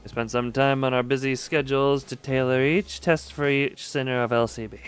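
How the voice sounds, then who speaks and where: Smooth, clear-voiced man on a game stream. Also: medium pitch, steady tone, moderate pace, faint music behind.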